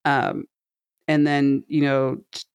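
A middle-aged woman co-hosting a podcast in casual conversation, explaining her thoughts earnestly in a rambling, halting way. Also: a bass voice, fairly even intonation, gravelly, smooth, no echo. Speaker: podcast co-host. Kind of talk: casual chat, reviewing a book.

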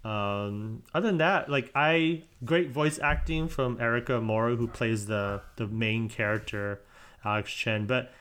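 A man's voice: mid-range voice, a little slow, fairly bright tone, clear, resonant tone. Rambling man on a casual podcast. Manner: rambling, self-correcting. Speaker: podcast co-host. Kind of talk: casual chat.

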